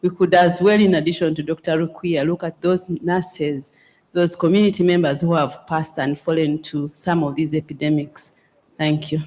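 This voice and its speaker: A woman's voice: low-pitched, fairly even intonation, moderate pace, a little mellow. Woman, advocate; earnest floor comment, frequent restarts. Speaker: civil society representative. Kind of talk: formal plenary comment.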